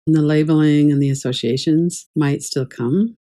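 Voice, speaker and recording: Woman, meditation teacher, dark relaxed timbre, calm, measured, gently instructive. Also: sits low. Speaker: yoga nidra meditation teacher.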